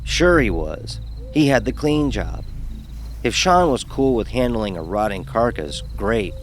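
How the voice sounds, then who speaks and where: A man's voice: lowish voice, sing-song, unhurried, slight background noise. A man narrating a first-person horror story in a dramatic, wry manner.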